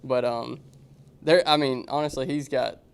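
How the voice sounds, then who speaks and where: A young podcast co-host with a Southern US accent talks in a loose, rambling way. His voice is gravelly and full of fry. Its pitch is middling. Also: steady tone, a little slow. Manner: rambling, restarts while introducing. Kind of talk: casual chat.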